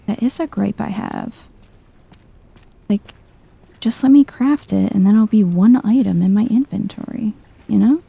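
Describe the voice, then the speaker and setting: A soft-spoken woman giving chill ASMR video game commentary, musing to herself as she plays. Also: mid-range voice, measured pace, creaky, long pauses, slightly rough, dull audio.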